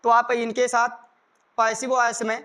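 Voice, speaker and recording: A man's voice: extremely high pitch, monotone, measured pace, a bit bright, clean tone. A man with an Indian accent giving a grammar lesson as a teacher, explaining rules step by step and repeating them.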